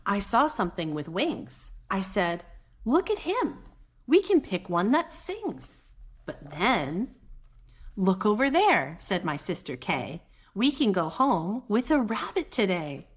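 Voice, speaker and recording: Soft-voiced woman reading leisurely to children. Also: on the high side. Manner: gentle, expressive, chatty with young listeners. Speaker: children's read-aloud host; mother. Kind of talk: picture-book read-aloud for preschoolers with conversational asides.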